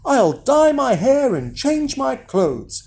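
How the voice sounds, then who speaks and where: Storybook lad, acted by a narrator: high voice, cocky and restless, animated swoops. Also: slow pace, slightly rough, exaggerated voice. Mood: confident. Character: cheeky, confident, restless boy from fairy tale. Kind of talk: children's fairy-tale storytelling.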